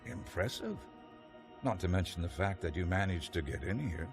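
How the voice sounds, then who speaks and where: An actor playing a stern, zealous priest interrogator in a video game, solemn, deliberate and condescending. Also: male voice, sits low, animated. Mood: condescending, menacing. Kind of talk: video game cutscene acting. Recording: voice under loud dramatic game music.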